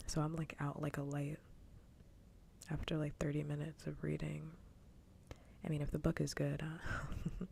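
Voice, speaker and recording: A woman livestream host chatting casually in a soft, breathy whisper for ASMR, gentle and unhurried, close to the microphone. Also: low-pitched, monotone, slight background noise.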